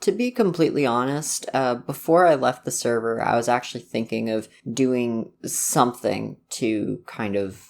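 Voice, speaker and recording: A young man talks casually in a medium-pitched voice, as an online creator giving his followers an informal update. He is reflective and a little hesitant, dropping in "like" often and letting thoughts trail off before picking them up again. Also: moderate pace, gravelly, few pauses. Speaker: ASMR voice actor and video creator.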